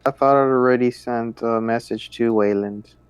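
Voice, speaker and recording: A man's voice: mid-range voice, fairly even intonation, normal speed, clean tone, no room sound. A man chatting casually as a player in a tabletop roleplaying game.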